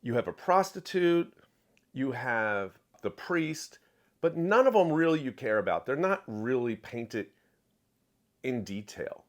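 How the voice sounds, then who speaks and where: Animated man reviewing a book. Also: mid-range voice, measured pace. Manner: emphatic, repeats phrases for stress. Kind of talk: opinionated book review to camera.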